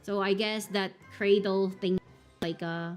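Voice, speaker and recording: A woman's voice: medium pitch, steady tone, measured pace, faint music behind. A young woman streaming a visual novel, reading it aloud and chatting casually with frequent fillers. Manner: rambling, giggly, lots of fillers.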